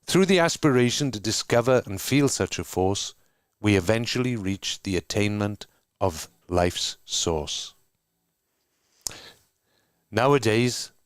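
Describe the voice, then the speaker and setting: A man from Wales with a Welsh accent, co-hosting an online discussion and reading prepared remarks in a measured, earnest way over a video call. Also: fairly low pitch, clear, resonant tone, dry recording, studio quality. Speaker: co-host. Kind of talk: scripted welcome and reading.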